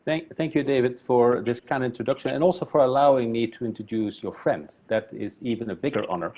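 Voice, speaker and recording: Man, friendly halting introduction. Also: mid-range voice, dull audio, slight background noise. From Belgium. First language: Flemish. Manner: friendly, self-deprecating, halting with repeats. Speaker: academic council chairman introducing a speaker. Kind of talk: webinar introduction. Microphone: video call.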